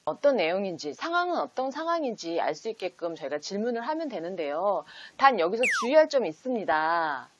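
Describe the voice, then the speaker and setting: A woman's voice: mid-range voice, sing-song, brisk, hardly any pauses, dry recording, lo-fi sound. A woman hosting an instructional talk for parents, explaining step by step and posing example questions as if to a child. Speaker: host teaching parents how to read picture books with children. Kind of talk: instructional video talk in numbered steps.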